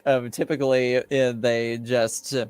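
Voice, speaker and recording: A man casually explaining things to his players as game master, halting and repeating words, with some swearing. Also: medium pitch, little pitch movement, darkish tone, clean tone, no room sound, soft background music. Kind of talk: casual explanatory group chat.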